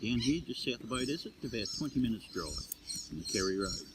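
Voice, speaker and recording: An older man with an Australian accent co-hosting a casual chat outdoors at a campsite, laid-back and halting. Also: mid-range voice, animated. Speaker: podcast co-host. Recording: loud outdoor background noise.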